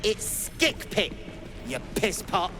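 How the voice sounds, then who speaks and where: A defiant, bickering servant in a fantasy game. The voice sits high and swings in pitch as it answers back resentfully, over clear music. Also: male voice, very animated, takes it slowly, heavily acted. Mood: sullen, defiant. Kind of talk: video game character acting.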